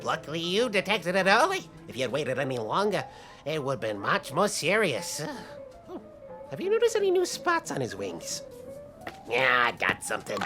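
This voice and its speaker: A woman's voice: deep voice, huge pitch swings, moderate pace, music in the background. A woman playing a kindly, fluttery moth apothecary with a folksy, fussing manner in a family audio drama.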